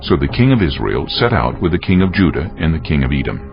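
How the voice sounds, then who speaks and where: Very deep, gravelly male narrator. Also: sing-song, fast talker. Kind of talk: scripted dramatized scripture narration. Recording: muffled audio over faint music.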